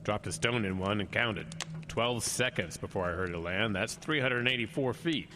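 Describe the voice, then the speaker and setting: A man's voice: fairly low pitch, moderate pace, bright, forceful tone. An actor playing a grave, suspicious man in an audio drama, probing and serious. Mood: grave, unsettled. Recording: radio drama with storm ambience noise.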